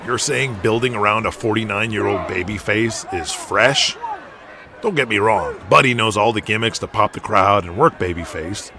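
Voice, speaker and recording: A man acts out a frank, worried young-generation wrestler talking business in an audio drama. His voice sits fairly low, with clear arena noise behind it. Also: normal speed, natural voice. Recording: noisy arena ambience behind voice. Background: arena ambience.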